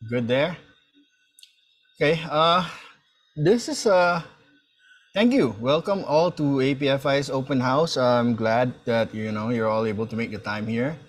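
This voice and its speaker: A man in his thirties with a medium-pitched voice. He talks like a mentor introducing himself and his class on a video call. His delivery is informal and hesitant, with halting phrases and words repeated as he searches for the next one. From Malaysia. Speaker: sound design instructor and mentor. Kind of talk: informal introductory talk at an online open house. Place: indoor room, on a video call.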